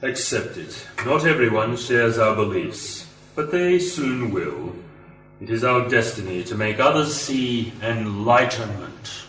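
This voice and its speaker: A solemn cult leader acted by a man in a homemade sci-fi radio drama. He pronounces things calmly and secretively, in a measured way. The voice sits back from the microphone in a roomy, echoing space. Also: medium pitch, distant mic. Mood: quietly assured. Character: calm, secretive male cult leader guarding sacred chamber. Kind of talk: amateur sci-fi radio play acting.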